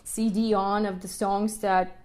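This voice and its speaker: A woman's voice: mid-range voice, little pitch movement, a little slow, darkish tone. Chatty woman hosting a live podcast. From Ukraine. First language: Russian. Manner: chatty, laughs often, frequent tag questions. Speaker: podcast host and music teacher. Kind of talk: casual live podcast monologue.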